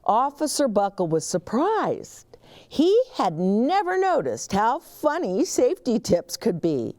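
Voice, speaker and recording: Animated, unhurried woman reading a storybook. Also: a little high. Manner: animated, dramatic pauses and emphasis. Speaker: children's storytime reader and host. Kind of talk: expressive picture book read-aloud narration.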